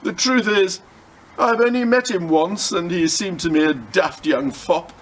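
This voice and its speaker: A man's voice: high voice, measured pace. A man voicing a scornful young Tudor noblewoman in a storybook, with archaic, disdainful phrasing. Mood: indignant.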